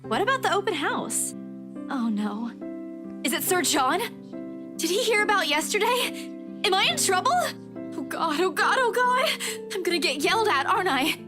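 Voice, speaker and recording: Visual novel heroine, performed by a woman: young, anxious, eager to please, extremely high and very animated. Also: normal speed. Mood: panicky. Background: background music.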